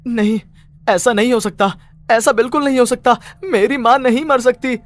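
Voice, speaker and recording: A woman playing a distraught young male writer in a horror story, agitated and halting, repeating words. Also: fairly high pitch, close to an everyday voice. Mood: shocked, desperate. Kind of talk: dramatised horror story acting.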